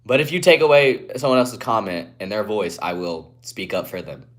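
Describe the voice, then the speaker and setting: A young man from Guatemala on a casual live stream, reading comments aloud and answering in a laid-back way, often trailing off quietly, through a phone propped on a ledge. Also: lowish voice, sing-song, normal speed. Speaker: livestreamer.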